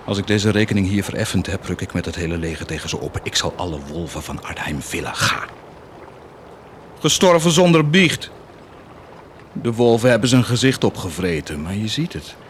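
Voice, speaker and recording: Deep, swaggering, blasphemous warlord. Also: male voice, lively intonation, moderate pace, slight background noise. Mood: cold, commanding. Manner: declamatory, defiant, swinging from muted menace to outbursts. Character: cynical, blasphemous bastard army commander, proud of his wickedness. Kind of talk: theatrical radio drama acting.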